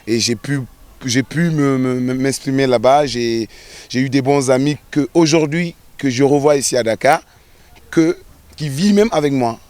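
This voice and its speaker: A man's voice: medium pitch, normal speed, a little mellow, no room sound. A man from Senegal, a footballer, telling his story in a documentary interview, hesitating and repeating words as he restarts.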